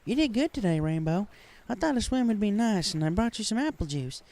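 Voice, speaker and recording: A man voicing an easygoing, folksy female farm pony with a reassuring, unhurried manner in a story reading. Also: sits high, lively intonation, dark tone, voice pushed up. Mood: cheerful. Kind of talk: fan fiction story reading.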